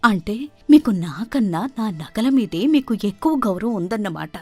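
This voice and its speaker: A woman voicing a gentle, trusting elderly village mother in a storybook tale, speaking in a soft, measured, motherly way. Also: mid-range voice, expressive, dry recording, faint music behind. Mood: hurt, firm.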